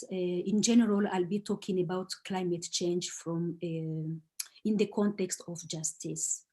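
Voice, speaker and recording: A middle-aged woman from the Democratic Republic of Congo, introducing herself as a guest speaker at an online talk in a measured way, with frequent hesitations. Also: on the low side, warm tone. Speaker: guest speaker, singer-songwriter.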